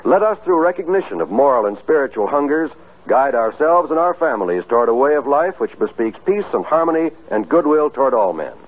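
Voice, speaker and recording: A man's voice: mid-range voice, big pitch swings, fairly quick. A man announcing in a formal, earnest, oratorical 1950s radio style from a script. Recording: tinny, phone-like old radio recording.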